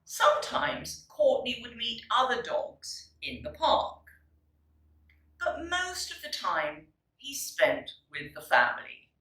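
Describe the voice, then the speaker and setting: A woman teacher reads a children's story expressively, pausing to explain and address young listeners in a deliberate, instructive way. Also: a little high, sing-song, pushed and bright. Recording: roomy echo, distant microphone.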